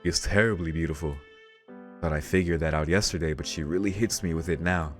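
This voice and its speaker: A man's voice: sits low, fairly even intonation, brisk. A man reading a visual novel aloud as a let's play host, with casual reactions and game music behind him. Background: visual novel soundtrack.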